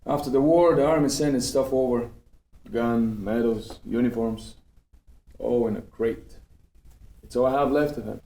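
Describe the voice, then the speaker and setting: A man's voice: mid-range voice, moderate pace, pauses often, warm tone, close to an everyday voice. A man reading a casual young gangster who tells stories conversationally, in a script table read with an echoey, distant sound. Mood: reflective, casual. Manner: conversational storytelling. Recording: echoey room, distant microphone.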